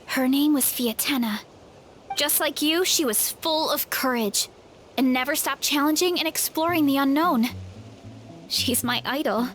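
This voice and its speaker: A woman voicing a gentle, hesitant young heroine who admits her fears and gathers her courage. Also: high-pitched, a little slow, slight background noise. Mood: admiring.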